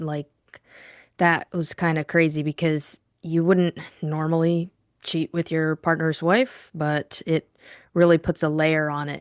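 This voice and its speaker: A woman with a low voice chats informally as co-host of a book discussion. Her phrasing is youthful and loose, and the sound is close and dry. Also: no room sound.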